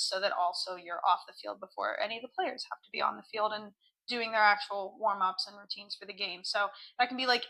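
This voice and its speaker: A woman co-hosting a podcast in casual chat, her voice hoarse from cheering, with many "like" fillers. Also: medium pitch, sharp tone, poor audio. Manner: chatty, lots of "like" fillers. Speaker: podcast co-host.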